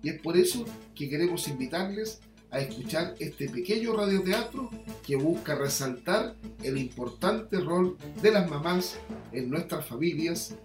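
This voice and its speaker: A man's voice: on the high side, expressive, unhurried. A man with a Chilean accent presenting a community radio programme in a formal style. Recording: roomy sound with guitar music behind.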